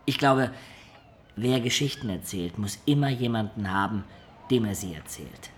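A man's voice: on the low side, expressive, moderate pace, slight background noise, close to an everyday voice. An actor playing a wistful adventurer in a radio drama, recounting his life in a reflective, confiding way. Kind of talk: radio drama acting and storytelling.

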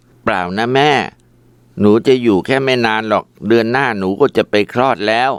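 A man voicing a gentle, dutiful young woman who speaks politely to her mother. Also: fairly low pitch, moderate pace, smooth, no echo, voice pushed up, close to an everyday voice. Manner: soft, polite, pleading.

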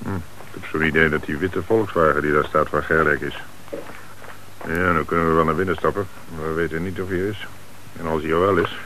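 An actor playing a brisk, authoritative police commissioner in a radio play, on a hissy old recording. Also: male voice, extremely low pitch, steady tone, fairly bright tone, rough recording. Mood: methodical, decisive. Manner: authoritative, methodical.

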